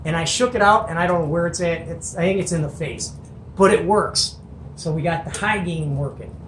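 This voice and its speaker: An enthusiastic show-and-tell host talks casually in a medium-pitched voice. The delivery is folksy and colloquial, like chatting to viewers over a workbench. The room is echoey, with faint noise behind. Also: a little fast.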